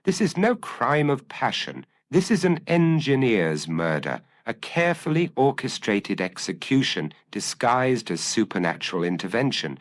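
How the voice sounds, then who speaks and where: A man voicing a shrewd, commanding Victorian detective in an audio storybook, speaking formally and deliberately. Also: medium pitch, big pitch swings, pitched above the performer's own voice, natural voice. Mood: intense, deductive.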